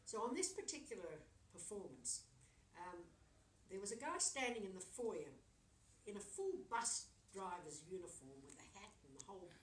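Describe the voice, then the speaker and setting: A woman in her sixties telling anecdotes in an animated way, acting out bits of dialogue as she goes. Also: mid-range voice, normal speed. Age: 60s. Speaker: storyteller. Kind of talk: anecdotal storytelling. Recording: roomy echo, distant mic, faint noise.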